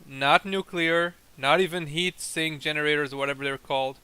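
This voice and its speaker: Bright, clear-voiced young man gaming. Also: on the high side, fairly even intonation, normal speed, poor audio. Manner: teasing, chatty, explains at length. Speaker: co-host playing a factory game. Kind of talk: casual chat over gameplay.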